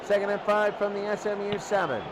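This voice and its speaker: A man's voice: high voice, fairly even intonation, brisk, a crowd in the background. A man giving live play-by-play football commentary in short, clipped calls with repeated phrases. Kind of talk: live radio sports commentary. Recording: very noisy game ambience.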